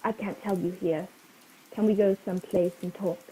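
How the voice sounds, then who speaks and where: A woman playing a frightened, secretive spy on the run in a radio play, pleading and urgent in halting phrases. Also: medium pitch, steady tone, warm tone, natural voice. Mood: pleading, panicked. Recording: tinny phone-like video call, faint noise.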